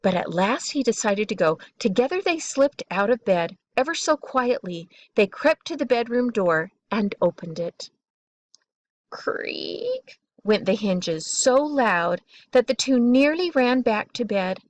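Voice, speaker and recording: A woman reading a children's bedtime story aloud in an expressive, deliberate way, drawing out key words. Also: on the high side, dry recording.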